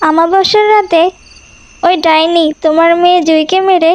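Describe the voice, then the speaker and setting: High-voiced solemn water fairy played by a woman. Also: fairly even intonation, moderate pace. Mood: solemn warning. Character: solemn female water fairy giving warnings and guidance. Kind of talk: fairy-tale cartoon acting.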